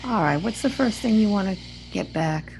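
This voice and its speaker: A woman working as a hypnotherapist, gently prompting and affirming in a soothing guided hypnosis session, with some background noise. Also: mid-range voice, normal speed, vocal fry, poor audio. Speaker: hypnotherapist guiding a client. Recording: steady noise, USB microphone.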